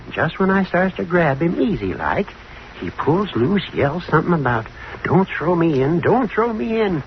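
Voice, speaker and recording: A man acting an excitable working-class night watchman in an old-time radio drama, telling his story in folksy, ungrammatical speech. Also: fairly high pitch, normal speed. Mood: agitated recounting. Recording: dull, hissy old radio recording.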